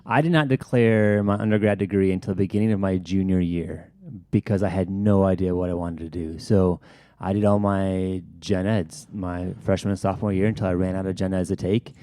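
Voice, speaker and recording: A man hosting a church podcast in casual chat, relaxed and self-deprecating. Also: sits low, a little fast, no room sound, studio quality. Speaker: podcast host. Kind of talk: casual discussion.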